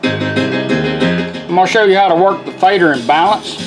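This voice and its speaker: A man's voice: high-pitched, a little slow, over music. A man giving an informal hands-on product tutorial in a folksy, step-by-step way. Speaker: product demonstrator.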